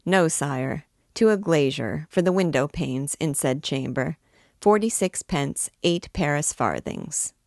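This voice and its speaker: A woman voicing a dutiful male clerk reading out costs in a measured, listing manner. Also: fairly high pitch, vocal fry, no room sound, clean studio sound.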